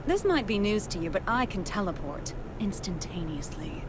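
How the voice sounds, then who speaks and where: A woman voicing a jaded young woman in a video game, with a sardonic, blunt and unhurried manner. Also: medium pitch, big pitch swings, very noisy, close to an everyday voice. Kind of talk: English video game dub acting.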